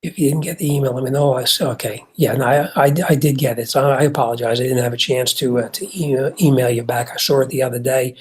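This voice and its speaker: Middle-aged New Jersey mechanic, husky voice, loose chatty livestream host. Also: male voice, medium pitch. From Jersey City, New Jersey. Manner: conversational, reads chat comments aloud, frequent fillers and restarts. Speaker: host and auto mechanic answering viewer questions. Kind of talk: casual livestream chat.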